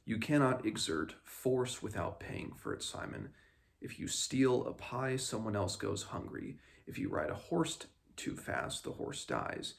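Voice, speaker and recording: Low, creaky, solemn mentor of magic read by a man. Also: very animated, heavily acted. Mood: solemn warning. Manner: measured, admonishing. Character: wise male mentor of magic, solemn and cautioning. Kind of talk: storybook read aloud.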